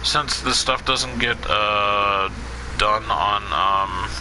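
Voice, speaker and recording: A man with a fairly low voice streams a video game and chats casually to his viewers. He rambles and loses his train of thought, with a steady hiss of noise under the audio. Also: drawn-out words. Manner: rambling, scatterbrained, lots of fillers. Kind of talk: casual let's play commentary.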